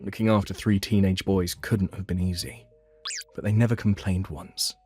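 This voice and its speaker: A man's voice: sits low, fairly even intonation, normal speed, a little mellow, breathy edge. A man narrating a dramatized first-person story for a manga dub. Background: background music.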